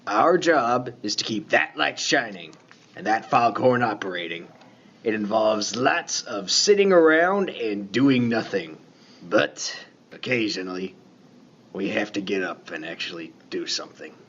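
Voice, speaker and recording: A man playing a laconic, folksy lighthouse keeper in a radio play, talking in a plain, unhurried, colloquial way. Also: lowish voice, expressive, a bit bright, breathy edge, highs cut off, full character voice. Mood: dry, matter-of-fact. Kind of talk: 1950s-set radio play dialogue.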